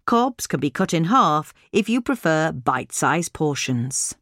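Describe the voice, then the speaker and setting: A woman's voice: sits low, sing-song, a little slow, a bit bright, dry recording, studio quality. A woman reading a scripted, instructional gardening article as a narrator. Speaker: reader of a gardening magazine article.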